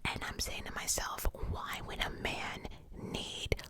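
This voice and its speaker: A woman telling a personal story in a steady whisper, casual and profane, with many fillers. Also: slow. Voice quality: whispering throughout.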